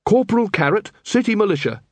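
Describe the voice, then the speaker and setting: A man's voice: high voice, big pitch swings, fairly quick, no echo, pitched above the performer's own voice. A man reading an audiobook, voicing an earnest, polite young male corporal.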